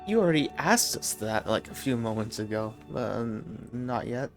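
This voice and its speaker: A woman's voice: rumbling low, animated, normal speed, over music. A woman giving casual, questioning commentary while streaming a game.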